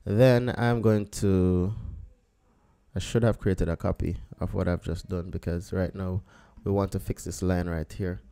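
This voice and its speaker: A man with a low, mellow voice walks through a photo edit on screen. He talks in an easy, unhurried way, thinking aloud as he clicks through each step. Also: gravelly, clear, resonant tone. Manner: relaxed, thinking aloud while working. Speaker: photographer teaching photo editing. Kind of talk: screen-recorded software tutorial.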